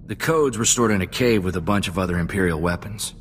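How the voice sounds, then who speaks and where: A man's voice: low-pitched, quick pace, a little hiss or hum, close to an everyday voice. A man playing an earnest, loyal young sidekick in a space-adventure game, talking in a plainspoken, folksy, reflective way. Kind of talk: video game cutscene dialogue.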